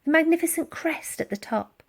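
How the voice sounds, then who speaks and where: A woman narrates a children's storybook with dramatic expression. Her voice sits a little high and moves in very animated swings of pitch. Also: normal speed, gravelly. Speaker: audiobook reader.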